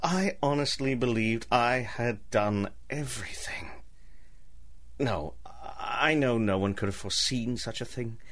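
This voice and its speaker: An actor playing an embittered, scheming ruined gentleman in a radio drama, with formal, theatrical speech. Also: medium pitch, unhurried, close to an everyday voice. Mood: falsely apologetic. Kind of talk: 1930s-set radio drama acting.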